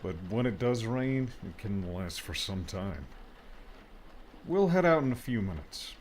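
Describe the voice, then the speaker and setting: A man voicing a brisk, fatherly older man who teases and looks after others. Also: on the low side, very animated, darkish tone, slight background noise. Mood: friendly. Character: older man, gruff caring guardian.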